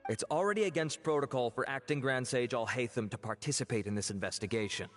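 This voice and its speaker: Anime game officer male actor: dutiful, courteous, formal, mid-pitched, reverberant with music behind. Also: fast talker, close to an everyday voice. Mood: formal, explanatory. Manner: formal, polite.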